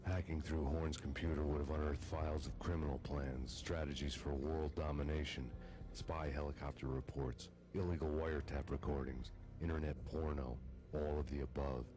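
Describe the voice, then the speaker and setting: An actor playing a brooding, world-weary noir vigilante, narrating his revenge in a gruff, deadpan hardboiled monologue. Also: rumbling low, moderate pace. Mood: grim, weary. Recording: music under the voice. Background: loud music.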